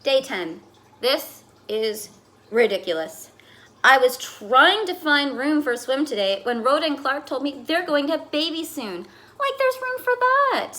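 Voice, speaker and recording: Woman reading as a dramatic, fussy pet goldfish, swooping pitch. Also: unhurried, exaggerated voice. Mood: exasperated, fed up. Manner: dramatic, exasperated, expressive storytelling. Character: fussy, put-upon pet goldfish telling its diary. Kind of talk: expressive children's picture book read-aloud.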